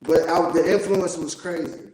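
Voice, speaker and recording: An American man with a voice well above the usual male pitch. He talks as a guest in a casual interview, rambling and stressing his points as he tells stories. The sound is echoey and roomy. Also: steady tone, moderate pace, a little mellow. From Youngstown, Ohio, United States. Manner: rambling, emphatic storyteller.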